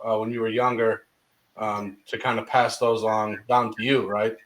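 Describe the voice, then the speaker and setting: A man's voice: lowish voice, level tone, normal speed, poor audio. Affirming man hosting an interview. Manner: affirming, asks leading questions with "right?". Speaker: podcast host. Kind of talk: casual interview.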